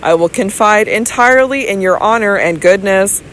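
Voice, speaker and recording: A woman reading the part of an earnest, hesitant young gentleman in an audiobook. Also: mid-range voice, normal speed, a little hiss or hum, lightly acted, rough recording.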